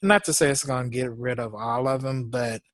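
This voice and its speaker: A man's voice: medium pitch, fairly bright tone. A man chatting casually as a co-host, rambling and laughing often, sounding congested, speaking. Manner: slow, drawn-out talk. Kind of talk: casual chat.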